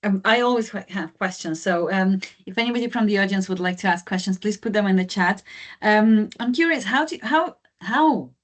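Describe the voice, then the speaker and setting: A woman's voice: mid-range voice, normal speed. A woman moderates a webinar on a video call and asks curious follow-up questions in an upbeat way.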